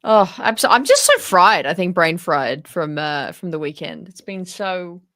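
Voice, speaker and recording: A woman's voice: on the low side, sing-song, a little slow, a bit bright. An Australian woman co-hosting a casual livestream, talking in a slangy, teasing and enthusiastic way. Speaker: livestream host.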